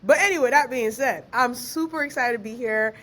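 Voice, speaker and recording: A fairly high-voiced young woman talks with a podcast host. She is lively and slangy and laughs often. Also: brisk. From Ghana, raised in Maryland. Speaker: guest, author and researcher. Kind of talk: casual podcast interview.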